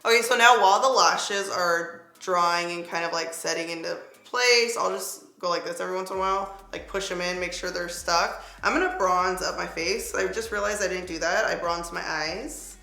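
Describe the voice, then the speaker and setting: Bright, raspy-edged young woman vlogger. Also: fairly low pitch, moderate pace, few pauses. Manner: chatty, lots of "like" fillers, upbeat product asides. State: slightly raspy voice. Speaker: beauty vlogger. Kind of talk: casual makeup tutorial chat. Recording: echoey room with faint music.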